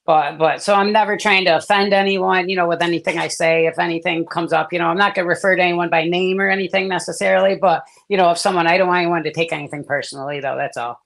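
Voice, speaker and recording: Gravelly-voiced man sharing a rambling testimony. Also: high voice, steady tone, fast, few pauses, a bit bright. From born in South Korea, raised in the US. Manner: rambling, many fillers and restarts. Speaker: guest. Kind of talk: casual testimony on a live stream.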